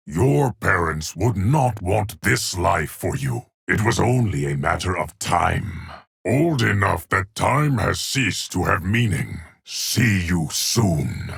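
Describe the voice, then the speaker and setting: Ancient immortal time keeper for a video game, super raspy, solemn and threatening, swings up and down a lot. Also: male voice, sits low, full character voice. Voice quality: gravelly, husky. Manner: solemn, portentous, deliberate. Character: ancient, unique immortal guardian of time, grave and menacing. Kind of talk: video game character voice lines.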